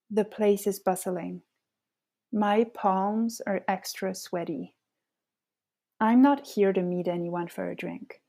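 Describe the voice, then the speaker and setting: A woman in her thirties from France, a native French speaker with a French accent, hosting a podcast with measured, scripted personal narration. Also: medium pitch, dark tone. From France, Paris suburbs. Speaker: podcast host.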